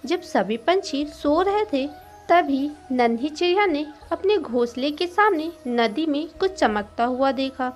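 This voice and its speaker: A woman's voice: sits high, expressive, measured pace, faint music behind. A woman narrating a scripted moral story for children as a storyteller.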